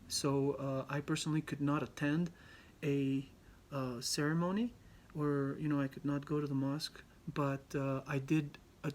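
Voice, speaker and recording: Halting young man giving a class talk. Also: mid-range voice, slight background noise. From Albania. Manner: halting, many ums and restarts. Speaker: student presenter. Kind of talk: class presentation.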